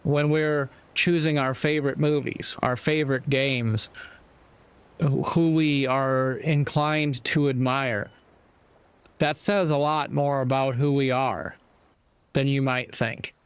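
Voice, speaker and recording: A man giving reflective spoken commentary in an earnest, deliberate manner, pausing mid-sentence. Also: medium pitch, fairly even intonation, clear, resonant tone. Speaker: speaker giving moral commentary.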